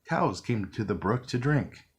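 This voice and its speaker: Low-voiced man reading a storybook expressively. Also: animated, measured pace, slightly rough. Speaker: storybook reader. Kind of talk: children's read-along narration.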